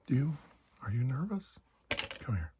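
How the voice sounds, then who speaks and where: A man doing soft-spoken ASMR roleplay as a calm doctor, speaking gently and reassuringly, often dropping into a whisper. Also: medium pitch, normal speed, noisy background. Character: calm, reassuring male doctor examining a patient. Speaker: ASMR performer playing a doctor.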